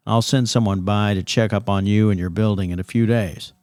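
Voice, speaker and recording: An actor playing a reassuring 1990s late-night radio host of a paranormal show, with smooth showman patter. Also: lowish voice, steady tone, brisk, a little mellow, no echo, clean studio sound, natural voice.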